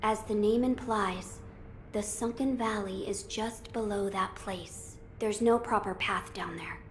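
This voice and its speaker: A woman voicing a grave, bookish young noble child lord in a video game cutscene, speaking in formal, measured, earnest phrases. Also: medium pitch, slight background noise, natural voice. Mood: explanatory, calm.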